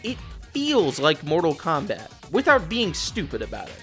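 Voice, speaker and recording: A film reviewer narrates a scripted video essay with plenty of swing in the voice. The pitch sits in a middle register and the phrasing is casual, blunt and opinionated, with some swearing. Light music plays underneath. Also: wildly swooping pitch, normal speed, fairly bright tone.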